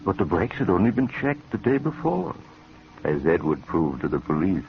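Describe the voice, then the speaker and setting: Ominous, very deep, gravelly storyteller. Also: male voice, big pitch swings, full character voice. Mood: sombre, knowing. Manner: measured, sombre, formal. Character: ominous, knowing storyteller of macabre tales. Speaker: narrator and host. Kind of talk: 1950s radio mystery narration. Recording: dull, noisy vintage radio recording.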